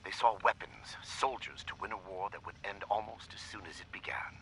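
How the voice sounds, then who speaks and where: An actor playing an arrogant, idealistic scientist who dictates video game research logs in a deliberate, gravelly, breathy voice. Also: male voice, fairly low pitch, a bit bright, in a whisper, rough recording. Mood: scornful, bitter. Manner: deliberate, measured, disdainful. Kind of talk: dramatic video game audio log.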